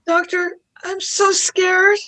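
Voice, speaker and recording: A woman's voice: very high-pitched, level tone, takes it slowly, pushed and bright, exaggerated voice, low-quality recording. A woman playing a frightened young girl companion, anxious and pleading, in a radio play. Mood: scared. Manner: anxious, urgent exclamations.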